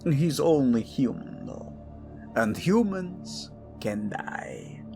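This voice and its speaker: A man's voice: medium pitch, very animated, vocal fry, music in the background, exaggerated voice. A man playing a sneering, swooping villainous royal father. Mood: amused menace. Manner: slow, sneering, theatrical swooping delivery. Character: cruel, scornful, power-hungry royal father, older tyrant. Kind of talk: audio drama villain acting.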